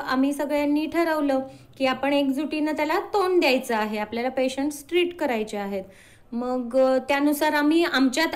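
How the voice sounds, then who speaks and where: A woman, a doctor answering interview questions in an explanatory way, mixing in English medical terms. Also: high voice. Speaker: doctor, podcast guest.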